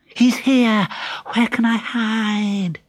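A storyteller voicing Columbine, an excitable young woman with a very high, breathless voice. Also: extremely slow. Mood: panicky.

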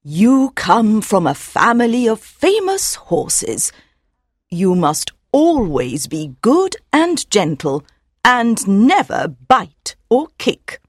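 A man voicing an old, wise mother mare who gently and earnestly advises her colt in a storybook reading. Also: high-pitched, huge pitch swings, slow, no echo, clean studio sound, pitched above the performer's own voice, full character voice. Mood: earnest advice. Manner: soft, earnest and admonishing.

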